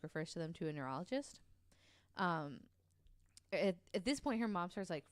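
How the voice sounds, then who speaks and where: Chatty woman telling a story, smooth voice. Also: sits low, no room sound. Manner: chatty, many ums and likes, laughs easily. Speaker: podcast co-host telling a true story. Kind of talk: casual storytelling chat.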